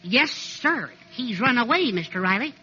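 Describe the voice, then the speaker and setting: A fussy, meek little fellow in a 1940s radio comedy. His voice is high and swoops expressively, and he is prim and polite. It comes through a dull, slightly noisy old recording. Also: expressive, moderate pace, pushed and bright, breathy edge, exaggerated voice. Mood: alarmed, soothing. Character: meek, fussy, literal-minded little henpecked neighbour man.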